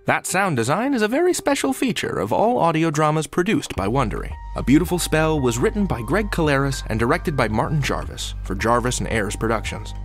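A man's voice: a little high, a little fast, few pauses, soft background music. A man as podcast host reading scripted outro announcements in a friendly promo manner. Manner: friendly, even promo read.

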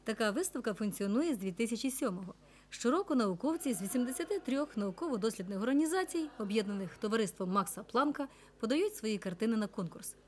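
A woman's voice: a little high, animated, fast. A woman reporter reading scripted narration for a television news report.

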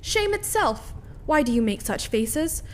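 A woman acting a high-voiced, imperious queen. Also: very animated, a little slow, slight background noise. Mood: scornful. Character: commanding, scornful queen covering for her husband. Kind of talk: dramatic reading of Shakespearean tragedy.

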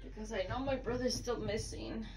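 A woman improvising a tipsy girlfriend roleplay in ASMR style, with a halting, drawn-out delivery. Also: fairly high pitch, crowd noise. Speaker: amateur roleplay performer. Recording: noisy home recording with clicks.

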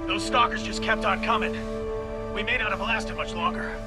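A man's voice: high-pitched, steady tone, moderate pace, lightly acted. An actor playing an embattled, commanding male militia captain in a video game. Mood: relieved, businesslike. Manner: urgent, short barked sentences. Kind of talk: scripted video game dialogue. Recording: loud game music behind.

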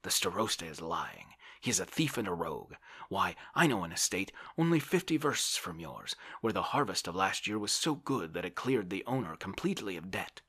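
Storybook bully voiced by a man: brash, hectoring, swooping emphatic delivery, sharp tone. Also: deep voice, breathy edge, pitched above the performer's own voice, exaggerated voice. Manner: blustering, scolding, bossy. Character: brash, bullying, grasping Russian man, hectoring his friend. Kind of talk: audiobook character voice.

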